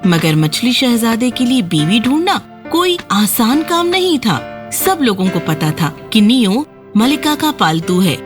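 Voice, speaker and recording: Lively woman narrating a children's fairy tale. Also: fairly high pitch. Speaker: storyteller.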